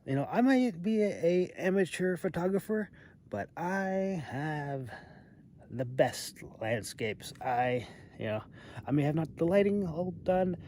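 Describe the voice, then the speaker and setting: A man's voice: a little high, mellow. A man in his thirties casually reviewing a product outdoors, wry and hesitant, often trailing off mid-thought. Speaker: amateur knife reviewer. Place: outdoors in a snowy open river valley. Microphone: phone at arm's length. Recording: outdoor phone recording with background noise.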